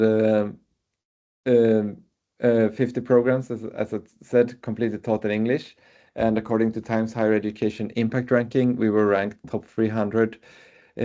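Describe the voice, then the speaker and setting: A man with a Swedish accent gives a university webinar presentation, often pausing on filler sounds. His voice sits low and stays fairly flat, with a mellow, dark tone. The sound is muffled, as over a video call. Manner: hesitant, frequent filler sounds. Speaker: university representative.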